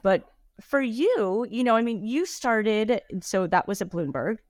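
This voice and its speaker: A woman hosting a podcast interview, responding warmly with frequent agreement and questions. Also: fairly high pitch, expressive, unhurried, dry recording, studio quality. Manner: affirming, frequent agreeing backchannels. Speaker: podcast host. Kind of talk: interview conversation.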